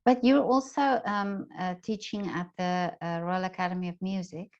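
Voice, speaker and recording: A woman's voice: fairly low pitch, fairly even intonation, moderate pace, darkish tone. A woman hosting a casual conversational interview, phrasing hesitantly and repeating words, on a dull lo-fi recording. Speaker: interviewer and host.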